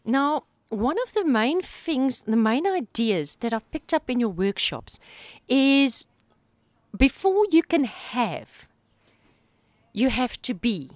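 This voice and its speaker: A woman hosting a radio interview in a studio, warm-hearted and effusive as she brings in her guest. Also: sits high, big pitch swings, slow pace, no echo. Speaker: radio show host.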